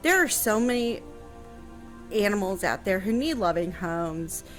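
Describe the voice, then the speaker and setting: A woman's voice: medium pitch, very animated, measured pace, faint music behind. A woman chatting casually on a radio show, answering a history question with lots of fillers and restarts.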